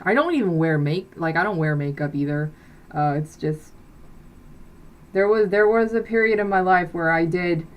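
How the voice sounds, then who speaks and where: A young woman from South Korea, a native Korean speaker, chatting casually on a live stream from her bedroom, rambling with many fillers and restarts. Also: deep voice. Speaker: livestream host. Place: bedroom with blackout curtain.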